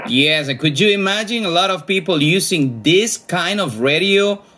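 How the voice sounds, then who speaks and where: A man's voice: high-pitched, lively intonation, moderate pace, pushed and bright, slight background noise. A man in his forties chatting casually and enthusiastically as an amateur radio operator. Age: 40s. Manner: friendly, chatty, enthusiastic about old radios. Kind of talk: casual ham radio chat.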